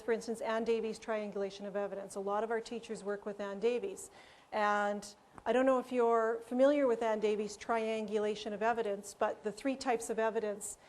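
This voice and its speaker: A woman giving a conference presentation on language teaching, explaining ideas and pausing with fillers. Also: on the high side.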